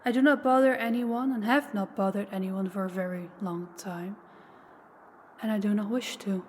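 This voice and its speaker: Woman acting as old powerful vampire lady, calm n menacing, looks down on you, big reverby hall, bit of noise. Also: mid-range voice, close to an everyday voice. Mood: weary disdain. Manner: slow, deliberate, condescending, taunting. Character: ancient, powerful female vampire, calmly menacing and disdainful. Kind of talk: intimate scripted audio roleplay addressed to listener. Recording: long hall reverb, faint background noise.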